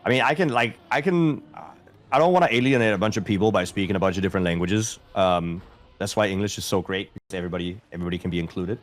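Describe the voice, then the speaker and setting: A man's voice: low-pitched, quick pace. A man from Denmark, a streamer, chatting casually with his viewers, answering their questions aloud and poking fun at himself.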